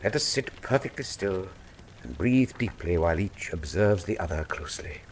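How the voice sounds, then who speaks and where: A precise, animated Victorian detective. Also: male voice, sits low, moderate pace, slight background noise. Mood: grave, intent. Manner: formal, precise, lecturing. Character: keen, commanding Victorian male detective, precise and erudite. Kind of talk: radio drama acting.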